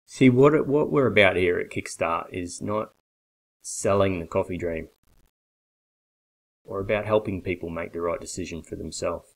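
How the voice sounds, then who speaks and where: A man in his forties giving a blunt, persuasive sales pitch straight to a webcam from a small bare office. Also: normal speed, gravelly, pauses often, rough recording. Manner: blunt, persuasive, emphatic with hand gestures. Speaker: coffee business consultant. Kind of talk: direct-to-camera sales pitch. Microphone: webcam at desk.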